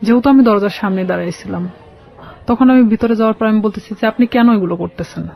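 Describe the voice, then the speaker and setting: Bangladeshi woman guest telling her life story, kinda halting, repeats herself, bit muffled lo-fi radio sound. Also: mid-range voice, vocal fry. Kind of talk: personal storytelling in an interview. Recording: slightly dull, lo-fi radio sound.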